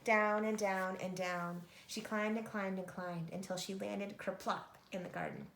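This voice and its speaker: Creaky-voiced woman reading a storybook. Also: medium pitch, fairly even intonation, measured pace, a bit bright, a little hiss or hum. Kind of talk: expressive storybook narration. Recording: echoey room.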